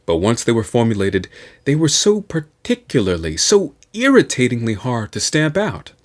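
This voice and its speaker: A man reading a science fiction novel aloud as host, with a theatrical, deliberate delivery. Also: mid-range voice, huge pitch swings.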